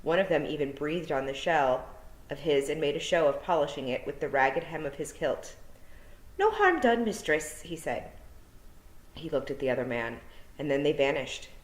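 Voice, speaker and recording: A woman teacher reading a children's novel aloud in an expressive, unhurried storytelling manner. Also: sits low. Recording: roomy echo.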